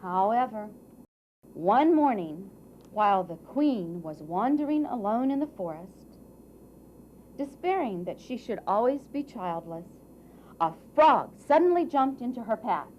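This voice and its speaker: Higher-voiced woman telling a children's story. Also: big pitch swings, unhurried, highs cut off, slight background noise. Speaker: narrator and presenter of a puppet show. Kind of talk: expressive children's storybook narration.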